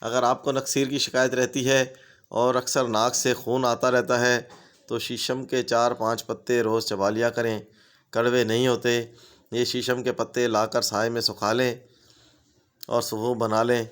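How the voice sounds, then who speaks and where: A man's voice: mid-range voice, level tone, moderate pace, fairly bright tone, smooth. A man, steadily reading out a list of home remedy tips as a channel host.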